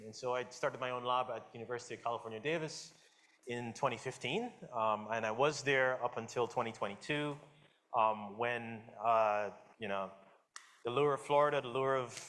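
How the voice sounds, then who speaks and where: A man in his forties from the Caribbean with a slight Caribbean accent, giving a relaxed public science lecture and talking with the audience, with many fillers and restarts. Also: medium pitch. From Guyana, raised in Jamaica. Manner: casual, friendly, asks audience questions, many fillers and restarts. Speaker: guest lecturer, professor.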